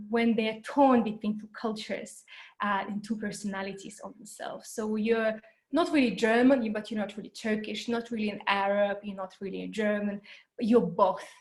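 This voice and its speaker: A woman's voice: medium pitch, darkish tone. A woman who grew up in New Zealand, giving an online educational talk in an earnest way, with many fillers and repeated words. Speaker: workshop presenter. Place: home, Berlin.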